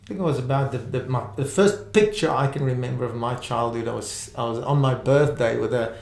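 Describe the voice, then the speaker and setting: Gravelly Afrikaans-accented South African man. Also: mid-range voice, a little fast, darkish tone, echoey room. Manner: hesitant, many restarts and fillers. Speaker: guest. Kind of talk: conversational interview.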